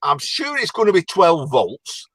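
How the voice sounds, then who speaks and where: A man's voice: a little high, wildly swooping pitch, measured pace, a bit bright, dry recording. A man with an English accent, chatting and joking casually on a live stream. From England. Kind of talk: casual chat.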